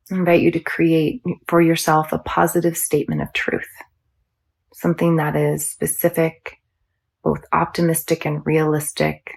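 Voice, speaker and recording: A woman teaching yoga nidra, guiding a meditation in a soothing, measured, instructional manner. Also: low voice, vocal fry, husky. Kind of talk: guided meditation.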